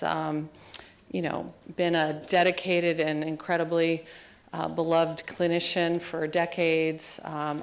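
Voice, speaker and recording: A woman introducing a speaker at a lecture, halting with many fillers. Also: fairly low pitch. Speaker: program co-director introducing the speaker.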